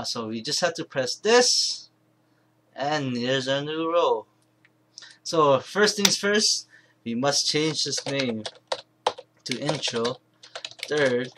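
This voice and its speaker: A man presenting an informal software tutorial, thinking aloud in a halting, rambling way and correcting himself as he goes. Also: mid-range voice. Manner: halting, rambling, self-correcting, mumbled asides. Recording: clear background noise, keyboard and mouse clicks.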